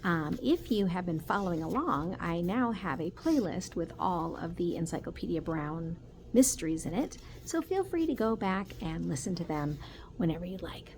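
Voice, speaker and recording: A woman, a teacher, reading a children's story aloud in an expressive way with the odd stumble. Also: fairly low pitch, normal speed. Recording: noticeable background noise.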